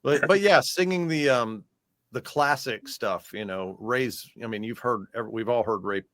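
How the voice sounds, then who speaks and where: A man's voice: medium pitch, measured pace, a bit bright, no room sound. An American man chatting casually in a music interview as the guest, talking in a loose, rambling way.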